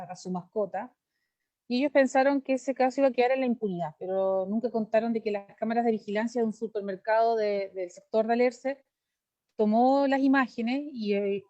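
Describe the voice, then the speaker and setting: A woman's voice: mid-range voice, warm tone, no room sound, highs cut off. A Chilean woman in her thirties, a lawyer and candidate, giving long, earnest answers in an interview over a video call. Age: 30s. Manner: earnest, fluent, gives long detailed answers.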